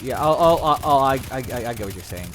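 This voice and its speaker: A man's voice: medium pitch, lively intonation, quick pace, slight background noise. A man chatting casually and informally, reacting to a story and often repeating words as he goes. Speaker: guest listener giving reactions. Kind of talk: casual chat.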